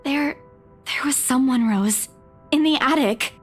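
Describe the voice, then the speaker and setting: A young woman working as an estate agent in a visual novel. Her voice is very high and hesitant, with an earnest, eager-to-reassure manner. Faint music plays behind her. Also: a little slow. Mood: shaken, confused.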